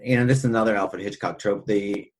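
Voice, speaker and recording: Husky, low-voiced man chatting about film. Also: steady tone, fairly quick. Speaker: podcast host. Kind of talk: casual film discussion.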